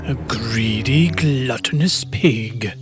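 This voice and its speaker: An actor playing a sly, hungry bad wolf in an animated children's fairy tale, scheming and gloating with drawn-out words. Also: medium pitch, music in the background, close to an everyday voice.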